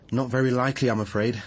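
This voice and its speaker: An actor playing an earnest, gentle, hard-up idealist activist in a radio drama, mild and a little preachy. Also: male voice, mid-range voice, natural voice. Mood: downcast.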